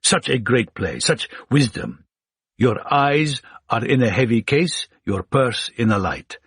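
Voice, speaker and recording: A man voicing a blind older male Egyptian scholar who is wise and witty, speaking in courteous, measured, formal phrases. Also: medium pitch, very animated, fairly bright tone, husky, dry recording, studio quality, full character voice. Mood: calm.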